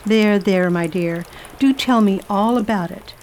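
A formidable spinster travel writer who gives orders and talks down to everyone, as a woman acts her. Her manner is crisp, condescending and brisk. Radio play acting. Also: medium pitch, a little mellow, a little hiss or hum, natural voice. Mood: bossy. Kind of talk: amateur radio drama acting.

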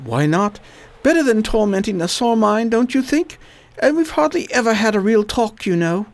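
A woman's voice: fairly high pitch, expressive, normal speed, a little mellow. A woman playing a weary, dutiful educated man in a radio play, terse and resigned.

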